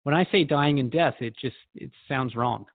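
A man's voice: mid-range voice, moderate pace, no room sound, phone-quality sound, close to an everyday voice. A man playing a curious, mildly skeptical radio interviewer in a scripted drama, thoughtful and conversational. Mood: musing. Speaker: radio host.

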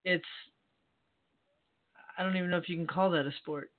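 A man's voice: high-pitched, steady tone, normal speed. Upbeat man on a tinny line. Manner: casual, laughs easily, short agreeing replies. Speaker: co-host. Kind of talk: casual online talk show chat. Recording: thin phone-like audio.

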